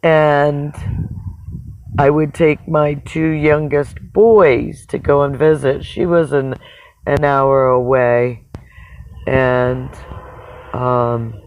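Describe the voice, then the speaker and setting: A person with a raspy voice talks in a casual, rambling livestream monologue, halting and reflective, often pausing mid-sentence. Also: mid-range voice, fairly even intonation, slight background noise. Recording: dull, narrow phone-like sound.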